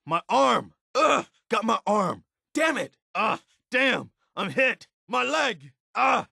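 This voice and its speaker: A man's voice: extremely high pitch, big pitch swings, slow pace, vocal fry, bright, forceful tone, slightly rough, dry recording, studio quality, full character voice. A man voicing an earnest, principled militia soldier as a companion in a video game. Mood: pained. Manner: earnest, plain-spoken soldier.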